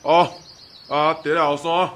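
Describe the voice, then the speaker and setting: A man's voice: on the high side, flat delivery, measured pace, a bit bright. A man playing an elderly, chatty school janitor in a radio drama, with a Taiwanese accent and folksy interjections. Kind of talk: student radio drama acting.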